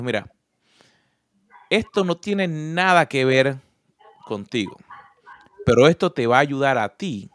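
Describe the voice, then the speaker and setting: A man's voice: medium pitch, fairly bright tone, dry recording. Earnest Puerto Rican man, dry sound. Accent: Puerto Rican Spanish. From Puerto Rico. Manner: earnest, storytelling with personal examples. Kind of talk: reflective conversational talk.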